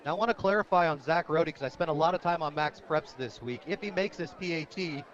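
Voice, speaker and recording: A man calling live high school football play-by-play for radio, describing each moment in detail. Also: quick pace. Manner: descriptive, rising to shouts on big plays. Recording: dull, lo-fi radio broadcast.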